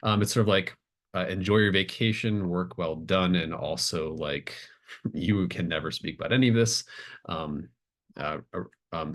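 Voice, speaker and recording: Very deep-voiced man narrating a game. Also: a bit bright. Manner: halting, thinking aloud. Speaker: game master. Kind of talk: improvised narration of a tabletop roleplaying game.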